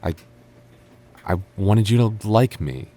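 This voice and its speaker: A man's voice: on the low side, expressive, slow, dark tone. A man playing a defensive, teasing young childhood friend with a secret crush, stammering and bickering in an intimate boyfriend roleplay. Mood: sheepish, confessing. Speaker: ASMR roleplay voice actor.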